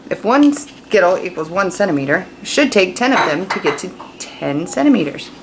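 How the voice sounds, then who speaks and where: A woman's voice: on the low side, sing-song, moderate pace, noisy background. An American woman teaching children in a read-aloud lesson, explaining informally and putting questions to her young listeners. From United States. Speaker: fifth-grade teacher.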